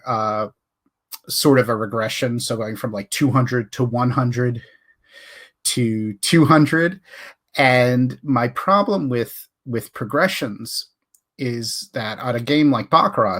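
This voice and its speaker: A man's voice: medium pitch, fairly bright tone, breathy edge. A man hosting a live webcast, talking casually and conversationally as he muses and puts questions to his audience. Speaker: host of a gambling webcast.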